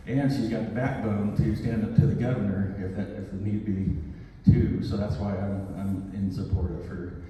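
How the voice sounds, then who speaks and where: A man introducing a speaker at a local event, talking casually and haltingly with fillers. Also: lowish voice, fairly even intonation, mellow. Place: event room. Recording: echoey room, far from mic, noisy.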